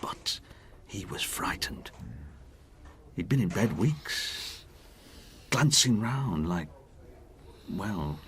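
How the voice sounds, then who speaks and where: A low-voiced working man in a radio drama, candid and haunted by a friend's ruin. His pitch moves around a great deal as he talks. He is plain-spoken and halting, feeling his way through the memories. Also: animated, fairly bright tone, exaggerated voice. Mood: haunted, grave.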